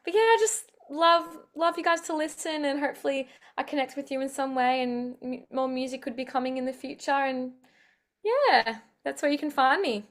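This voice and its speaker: A young woman talks about her music over a video call. Her voice sits a little high, and she chats in an upbeat, rambling way, with plenty of "um"s and "like"s. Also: normal speed. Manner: chatty, upbeat, many fillers. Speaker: singer-songwriter guest. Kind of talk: casual interview chat.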